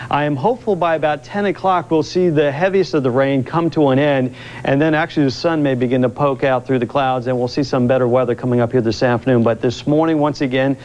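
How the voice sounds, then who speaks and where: A man's voice: medium pitch, a little mellow. An American man giving a live, ad-libbed weather report on television, explaining conditions and advising viewers. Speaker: TV weather forecaster. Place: TV studio. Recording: dull lo-fi VHS broadcast recording.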